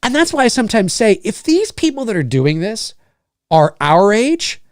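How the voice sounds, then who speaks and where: A man's voice: sits high, moderate pace, no room sound, clean studio sound. A man hosting a casual podcast chat, rambling and swearing, often mimicking other people's voices mid-sentence. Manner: lively, profane, rambling with mock-quoted voices. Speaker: podcast host.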